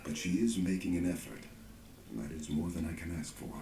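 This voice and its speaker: An actor playing a loyal, heartfelt soldier companion in a video game drama, speaking solemnly and earnestly. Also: male voice, deep voice, steady tone, brisk, warm tone, roomy sound, a little hiss or hum. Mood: gentle, reflective.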